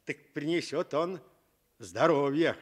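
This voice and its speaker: Slow, sly old soldier. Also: male voice, a little high. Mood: coaxing. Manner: coaxing, teasing, deliberate phrasing. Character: kindly old soldier, sly teasing sapper. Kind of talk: Soviet radio fairy-tale acting.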